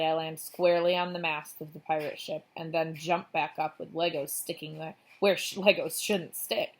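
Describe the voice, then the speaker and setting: Woman reading a kids book out loud, slightly low voice, expressive storybook narration. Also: gravelly. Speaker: read-aloud narrator of a children's book.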